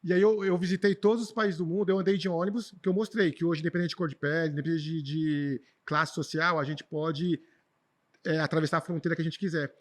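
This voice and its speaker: A man with a Brazilian accent speaks very quickly in a slightly high voice. He tells personal stories in an informal, conversational way, repeating words for emphasis as an interview guest. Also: fairly even intonation. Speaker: podcast guest, traveler and speaker.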